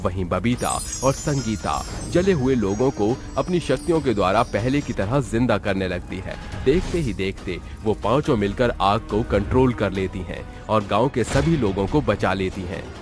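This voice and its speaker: Man, low voice, scripted horror storytelling, narrator, music clearly behind. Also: animated, moderate pace, few pauses.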